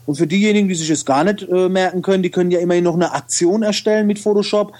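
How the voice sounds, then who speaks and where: A man's voice: sits high, steady tone, racing, darkish tone. Chatty German man with dialect. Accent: regional German dialect. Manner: chatty, loose, many fillers and restarts. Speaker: guest, photo retoucher and video trainer. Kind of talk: casual interview talk.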